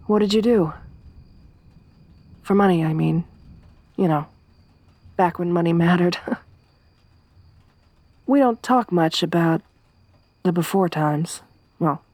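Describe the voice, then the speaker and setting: A woman voicing a tough young woman survivor around thirty in an ASMR roleplay, soft-spoken with dry humor. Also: lowish voice, dry recording. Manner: hushed whispering when hiding.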